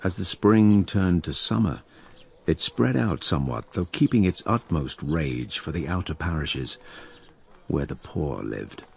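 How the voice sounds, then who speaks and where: An actor playing a wry, imperious diarist narrating a radio drama with sardonic, theatrical asides. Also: male voice, extremely low pitch, expressive, measured pace, vocal fry, a little mellow, slightly rough, heavily acted. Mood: grave, detached. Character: wry, imperious male narrator-diarist of plague-stricken London.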